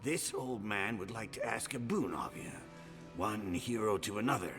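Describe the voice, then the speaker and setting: An actor playing a sick old braggart of a hero in a fantasy video game, rambling and wistful. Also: male voice, fairly low pitch, lively intonation, moderate pace, natural voice. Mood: confiding, pleading. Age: older. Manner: rambling, boastful storytelling. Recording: music behind the voice.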